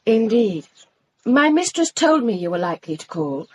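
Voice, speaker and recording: A woman's voice: on the high side, big pitch swings, moderate pace, a little mellow, husky. An actress in a radio drama playing a knowing, forthright female household servant. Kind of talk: BBC radio drama acting.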